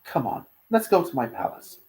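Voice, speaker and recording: A woman's voice: very deep voice, sing-song, moderate pace, a little mellow. A woman voicing a theatrical, fussy demon prince, a protective uncle, in a story reading. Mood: fond.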